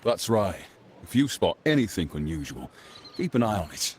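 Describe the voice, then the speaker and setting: An actor playing a plain-spoken senior crime-family agent in a video game, with a dismissive, patronizing manner. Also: male voice, low-pitched, sing-song, normal speed, a little hiss or hum. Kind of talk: scripted video game dialogue. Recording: loud music behind.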